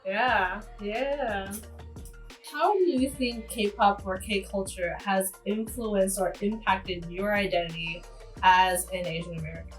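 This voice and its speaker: A woman moderating a panel over a video call, laid-back and encouraging, quick to laugh along with the guests. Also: mid-range voice, fairly even intonation, faint music behind, rough recording.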